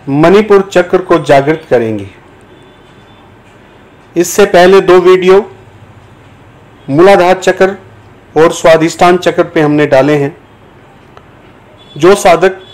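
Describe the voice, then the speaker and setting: Slow-speaking, higher-pitched man guiding meditation. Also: darkish tone, low-quality recording. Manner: measured, deliberate, pausing between short phrases. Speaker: yoga teacher guiding a meditation. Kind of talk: guided yoga nidra instruction, spoken slowly.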